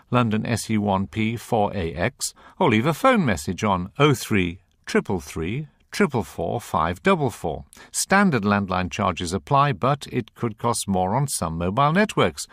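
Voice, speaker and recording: A man hosts a radio programme in a close, dry studio. His delivery is scripted, with animated swings of pitch as he presents. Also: dry recording.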